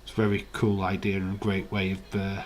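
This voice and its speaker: A man with a low, croaky voice rambles through a casual live-stream chat. He reads out viewer comments as he goes, with a faint hiss behind him. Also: monotone, fairly quick. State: hoarse, croaky voice.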